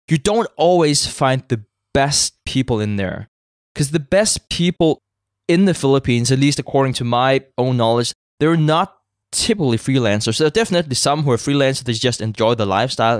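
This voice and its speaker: A man from Denmark talks in accented English in a relaxed, rambling way, full of fillers and doubled words. His voice sits at a middling pitch and is recorded close up with no room sound. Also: no room sound. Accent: Danish. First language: Danish. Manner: conversational, uses many fillers and repeated words. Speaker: podcast co-founder, guest. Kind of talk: interview conversation.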